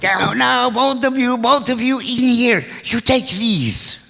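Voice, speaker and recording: A man playing a scheming, excitable foreign criminal mastermind in a radio detective play, speaking stilted, formal English. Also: extremely high pitch, slow pace, vocal fry, slight background noise, full character voice. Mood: urgent, nervous. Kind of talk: old-time radio detective play acting. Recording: echoey hall stage recording.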